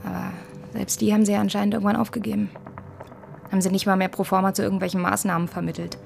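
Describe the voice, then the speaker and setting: A woman's voice: mid-range voice, flat delivery, very fast, vocal fry, darkish tone, faint music behind. An actress playing a sober, sceptical female police investigator in a naturalistic radio drama, matter-of-fact and understated. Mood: sober, musing.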